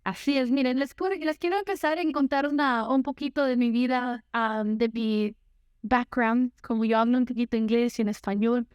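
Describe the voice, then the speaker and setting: A woman's voice: fairly high pitch, steady tone, normal speed, clear, resonant tone, no echo, clean studio sound. A young woman from Guatemala, preaching a sermon and telling her own story, slipping in English words. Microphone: handheld or headset mic, unfamiliar to her.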